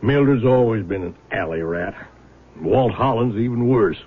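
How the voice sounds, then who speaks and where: An actor in a 1970s radio drama playing a frank, folksy older father and small-town prosecutor with a gravelly voice. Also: lowish voice, expressive, moderate pace, phone-quality sound. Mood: resigned, fond. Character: plain-spoken small-town prosecutor, fond protective old father. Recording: band-limited vintage radio broadcast.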